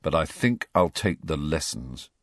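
A grumpy teenage schoolboy from a storybook , voiced by a man reading. He talks bluntly, with lively swings in a raised pitch, recorded dry. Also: measured pace, dry recording, heavily acted. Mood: moody, suspicious.

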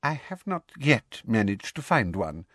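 A man voicing a smug female official in an audiobook, soft and condescending with a falsely kind manner. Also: on the high side, huge pitch swings, normal speed, dry recording, studio quality, pitched above the performer's own voice. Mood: smug, reporting. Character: silky, smug, sickly-sweet middle-aged female official.

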